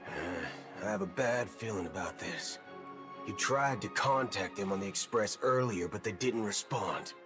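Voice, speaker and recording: An actor playing a brash, impatient, playful gunslinger in a video game, with a folksy, swaggering way of talking. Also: male voice, lowish voice, measured pace, lightly acted. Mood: wary. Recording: clear music behind.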